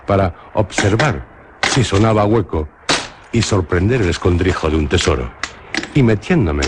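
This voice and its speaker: A Spanish man narrates a radio drama with a low, deep voice. His delivery is measured and literary, like a storyteller. Faint noise sits under the recording. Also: animated. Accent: Spanish from Spain.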